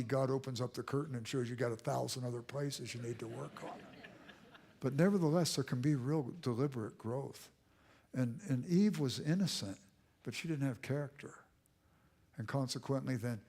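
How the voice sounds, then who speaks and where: A man's voice: mid-range voice, normal speed, dark tone, husky. A man giving a church lecture with audience questions, in an emphatic, repeating cadence that sometimes sinks to a near whisper. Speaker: guest speaker. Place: church auditorium.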